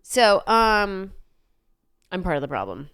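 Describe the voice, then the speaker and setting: Congested woman, smooth clear voice. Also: mid-range voice, very animated, slow pace, fairly bright tone, no room sound, clean studio sound. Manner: chatty storyteller, wry self-mocking asides. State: congested with a cold. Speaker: podcast host. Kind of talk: casual chat. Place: podcast studio.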